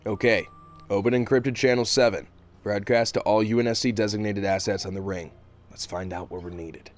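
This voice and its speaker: Brisk commanding military captain played by a man. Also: fairly low pitch, steady tone, natural voice. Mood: decisive. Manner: clipped, authoritative orders. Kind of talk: audio drama acting.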